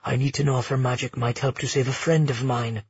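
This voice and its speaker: A man voicing an earnest, determined young male prince with a husky voice. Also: mid-range voice, flat delivery, dry recording, dull audio. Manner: measured, insistent.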